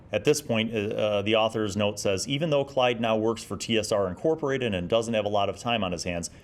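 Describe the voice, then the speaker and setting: A man in his forties giving a casual, nostalgic review in a conversational, halting way. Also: on the low side. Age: 40s. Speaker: host of a gaming magazine review series.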